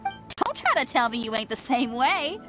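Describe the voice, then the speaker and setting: A woman's voice: high-pitched, sing-song, normal speed, a bit bright. An actress playing a brash young female rock musician in a game, talking casually with slang. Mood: eager. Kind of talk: game voice acting. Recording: game audio with music behind.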